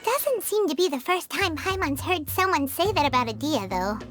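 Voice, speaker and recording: A woman voicing a tiny, excitable, childlike fairy companion in a video game, chirpy and blunt. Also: high-pitched, normal speed. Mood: matter-of-fact. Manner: speaks of herself in third person, exclamatory.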